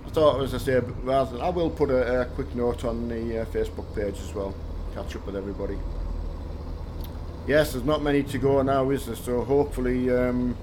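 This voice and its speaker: Croaky man chatting over engine noise. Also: medium pitch, fairly even intonation, brisk. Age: middle-aged. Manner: chatty, friendly, answers chat viewers one by one. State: slightly croaky voice. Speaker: streamer greeting chat. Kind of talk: casual live-stream chat while gaming.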